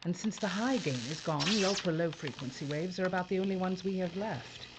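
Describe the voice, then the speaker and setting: A woman playing a weary, determined spaceship pilot and commander in a science fiction audio drama, brisk and businesslike with a wry edge. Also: fairly low pitch, heavy background noise, natural voice. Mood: resolute.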